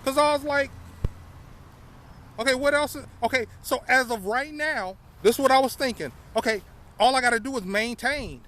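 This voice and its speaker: A man's voice: very high-pitched, moderate pace, pushed and bright. A man in his fifties telling his life story casually to viewers, often repeating phrases and calling them y'all. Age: 50s. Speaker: vlogger.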